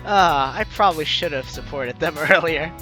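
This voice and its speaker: A gaming video host doing expressive, effusive, exclamatory let's play commentary over game music. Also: medium pitch, normal speed, rough recording. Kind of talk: let's play commentary, also reading on-screen text aloud.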